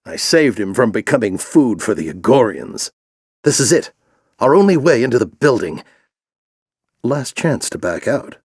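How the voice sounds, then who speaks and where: A man playing a grizzled older alien warrior general in a video game, with a gruff, commanding, theatrical delivery and a fanatical intensity. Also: medium pitch, very animated, normal speed, no room sound, clean studio sound. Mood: brisk, commanding. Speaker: military commander and mentor. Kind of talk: video game voice acting, combat barks and dramatic speeches.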